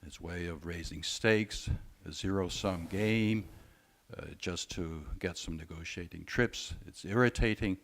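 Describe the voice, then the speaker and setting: A man speaking as a panelist at a conference discussion, measured and often hesitating. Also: low-pitched, a bit bright. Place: conference room.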